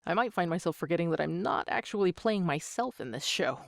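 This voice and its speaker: A woman's voice: lowish voice, animated, fairly quick, vocal fry, no room sound, studio quality. A woman in her thirties answering interview questions as an amateur voice actor, with a joking, self-deprecating manner. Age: 30s.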